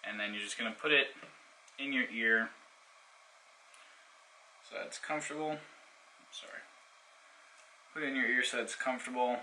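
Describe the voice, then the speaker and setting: A man's voice: mid-range voice, normal speed, long pauses, pushed and bright, a little hiss or hum, rough recording. A man giving a casual hands-on product demonstration in an informal, explanatory way. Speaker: product reviewer.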